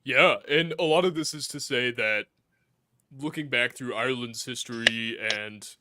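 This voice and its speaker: Smooth-voiced host man, thoughtful. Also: medium pitch, unhurried, sharp tone. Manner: thoughtful, hedging. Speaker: podcast host. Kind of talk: casual podcast discussion.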